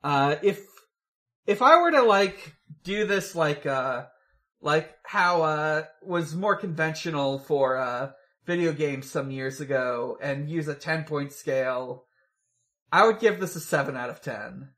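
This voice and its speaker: A podcast host talks through a film in a casual, rambling way. The pace is slow and halting, with thoughts restarted and hedged as they go. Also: medium pitch, animated, fairly bright tone. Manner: halting, hedging, rambling delivery. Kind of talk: casual film review chat.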